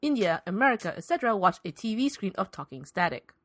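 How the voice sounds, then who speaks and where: A woman's voice: mid-range voice, big pitch swings, quick pace, dry recording, clean studio sound. A woman reading scripted narration for a spoof radio play as its narrator. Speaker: podcast host and narrator.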